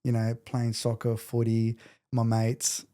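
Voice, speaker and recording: A man's voice: mid-range voice, monotone, takes it slowly, mellow. An Australian man in his late forties chatting casually as an interview guest, candid and jokingly self-deprecating. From Adelaide, Australia. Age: late 40s. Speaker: podcast guest.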